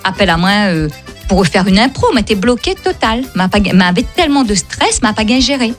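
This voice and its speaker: A woman from Réunion speaks French with a Réunionese accent, Creole being her first language. She tells stories in a lively, repetitive way, laughing often, with faint music behind her. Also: medium pitch, brisk. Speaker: comedian and guest. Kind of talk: casual storytelling interview.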